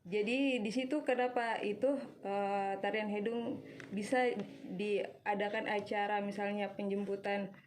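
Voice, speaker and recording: A young woman from Flores, a student guest on a radio talk show, answering hesitantly with many fillers and pauses. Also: medium pitch, flat delivery, a little hiss or hum.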